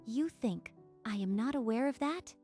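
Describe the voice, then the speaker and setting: Woman acting a proud young woman, higher-pitched. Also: expressive, normal speed, warm tone, faint music behind, natural voice. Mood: icy, defensive. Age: young adult. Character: proud, icy young woman hiding tender feelings. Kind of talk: manga dub dialogue.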